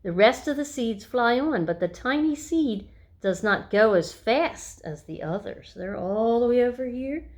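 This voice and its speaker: A woman reading a picture book aloud to young children, with expressive, deliberate storytelling and hushed little asides. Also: a little high.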